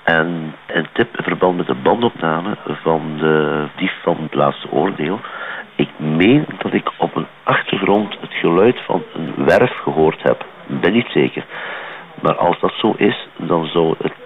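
Flemish guy calling in with a tip, really deep voice, tinny noisy phone. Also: animated, a little slow. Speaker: listener phoning in a tip. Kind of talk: spontaneous theorising.

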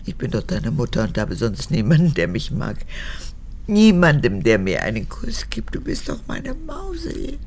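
A woman's voice: extremely low pitch, huge pitch swings, normal speed, a little mellow, slight background noise, full character voice. An actress playing a frail, cracked-voiced old woman, a needy and spiteful mother with a childlike wheedling manner, in a radio drama. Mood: clinging, plaintive. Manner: wheedling, childlike, swinging between whisper and outburst.

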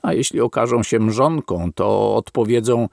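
A man narrating a philosophical text in a solemn, deliberate, sermon-like reading. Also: medium pitch, creaky, a little mellow, no echo, studio quality. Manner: slow, weighty and deliberate, like a sermon.

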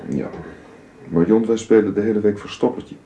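A man's voice: fairly low pitch, normal speed, dark tone, lightly acted. A man playing a reserved, earnest father and employer who speaks formally and hesitantly in a radio drama with a roomy, hissy recording.

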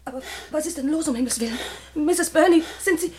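An actress playing a young housemaid, impulsive, distraught and defiant, in a 1960 German radio play. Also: female voice, sits high, quick pace, a little mellow, natural voice. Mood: alarmed. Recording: echoey, very noisy old radio recording.

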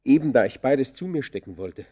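An actor playing a brooding, defiant murderer who confesses in deliberate, literary German radio play style, moving between hushed and vehement delivery. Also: male voice, mid-range voice, animated, lightly acted. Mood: defiant, intense.

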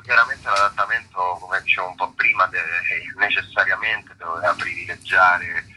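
A man's voice: low-pitched, steady tone, normal speed, bright, forceful tone. A man, an actor giving a reflective interview, searching for words and often rewording, over a noisy radio connection. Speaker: stage actor interviewed about a show.

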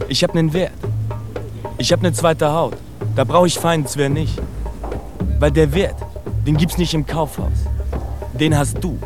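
An actor playing a proud, streetwise young fighter in a radio drama, speaking cocky slang straight at the listener. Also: male voice, a little high, natural voice.

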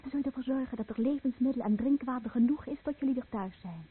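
A very husky, tender wife. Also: female voice, high-pitched, quick pace. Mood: solemn, exacting. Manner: solemn, tender. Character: devoted, earnest fisherman's wife, tender and exacting. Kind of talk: radio play acting. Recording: phone-like old radio recording.

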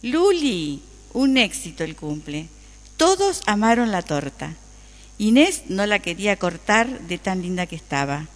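An actress plays an appreciative woman customer talking to her friend in a radio drama. Her voice is medium in pitch with a Rioplatense Argentine accent. It was recorded with a long reverberant tail. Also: very animated, measured pace, slight background noise. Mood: delighted. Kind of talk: radio play acting. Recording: reverberant room with long echo.

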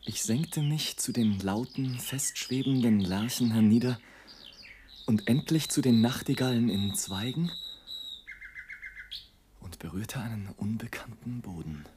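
An actor playing a romantic, ironic balloonist who narrates poetically and deliberately in a literary radio play. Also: male voice, mid-range voice, lively intonation, noisy background, close to an everyday voice. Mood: dreamy wonder.

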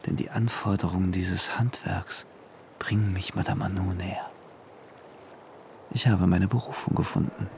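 Low, creaky dreamy young man. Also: steady tone, normal speed, long pauses, slight background noise. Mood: musing. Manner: musing, introspective. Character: dreamy, infatuated young man with artistic ambitions. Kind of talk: German radio play inner monologue. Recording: loud music under the voice.

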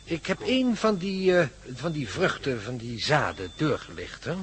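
A man's voice: fairly high pitch, wildly swooping pitch, a little slow, fairly bright tone, a little hiss or hum, full character voice. A male actor playing an enthusiastic scientist in a radio play, explaining things thoughtfully and with some hesitation. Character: absorbed, enthusiastic male scientist examining mysterious seeds. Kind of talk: radio drama acting.

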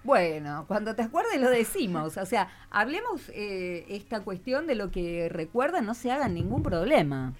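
A woman's voice: medium pitch, very animated, normal speed, hardly any pauses. A woman with a Rioplatense Argentine accent hosting an informal radio conversation with children, encouraging and conversational. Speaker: radio host. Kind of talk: informal educational radio interview with schoolchildren.

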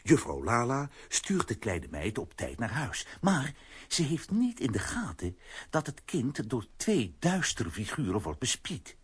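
A man's voice: medium pitch, huge pitch swings, unhurried, breathy edge, heavily acted. A man narrating a children's radio play as a storyteller. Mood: storytelling.

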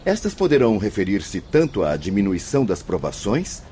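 An actor playing a learned, patient spirit mentor in a Brazilian radio drama, lecturing formally and solemnly. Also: male voice, lowish voice, wildly swooping pitch, normal speed, dark tone, slight background noise. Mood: grave, explanatory. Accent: Brazilian Portuguese.

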